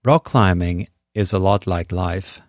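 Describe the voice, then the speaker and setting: Man, Swedish accent, middle-aged, low voice, podcast host, earnest and measured. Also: no room sound, clean studio sound. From Sweden. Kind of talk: scripted podcast monologue.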